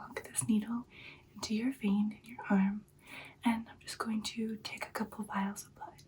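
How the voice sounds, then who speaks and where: A woman's voice: mid-range voice, distant mic, lightly acted. Whispering, tender vampire girlfriend, played by a woman. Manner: soft, soothing, reassuring personal attention. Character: affectionate vampire girlfriend, gentle and caring adult woman. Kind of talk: whispered ASMR roleplay.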